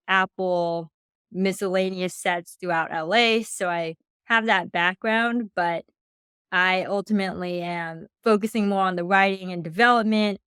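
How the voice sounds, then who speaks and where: Smooth-voiced young American woman. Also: on the low side, a bit bright, dry recording, studio quality. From United States. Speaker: history teacher, podcast guest. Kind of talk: casual podcast conversation.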